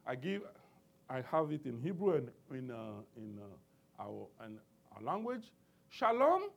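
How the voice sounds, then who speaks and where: Husky, lively-voiced male preacher. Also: high-pitched. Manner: emphatic call-and-response preaching with many restarts. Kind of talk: sermon.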